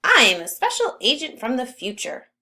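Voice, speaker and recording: A woman's voice: on the high side, huge pitch swings, moderate pace, creaky, sharp tone, heavily acted. A woman voicing a bold, insistent boy from the future in a storybook read-aloud, speaking emphatically. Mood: boastful. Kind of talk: storybook character reading.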